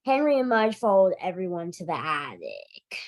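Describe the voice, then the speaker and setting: A woman reading a children's story aloud in an animated, expressive storytime style, now and then stumbling over a word. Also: on the low side, normal speed. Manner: expressive, emphatic, occasionally stumbling over words. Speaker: library director reading a picture book aloud.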